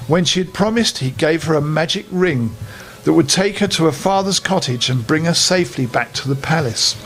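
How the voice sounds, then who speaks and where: Animated older man reading a children's story. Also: a little high, normal speed, soft background music, rough recording. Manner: animated, with friendly asides to young listeners.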